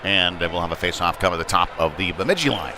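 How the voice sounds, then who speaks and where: A man's voice: low voice, lively intonation, quick pace. Man calling hockey over rink noise. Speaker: play-by-play sports commentator. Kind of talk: live ice hockey commentary. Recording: noisy live rink broadcast.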